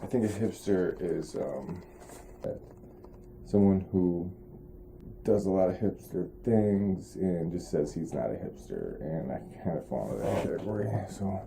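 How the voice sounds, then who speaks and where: A young man vlogging in a casual, low-energy, nearly monotone way, with many ums and restarts. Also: unhurried, vocal fry, a little hiss or hum. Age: young adult. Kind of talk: casual vlog talk to camera.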